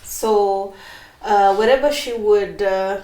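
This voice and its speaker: A woman's voice: mid-range voice, takes it slowly, mellow, a little hiss or hum. A bubbly woman in her thirties with a Kenyan accent tells her personal story in a chatty way. Her voice sits mid-range for a woman. An echo fills the room around her. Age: 30s. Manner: bubbly, chatty, with many fillers and laughs. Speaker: interview guest.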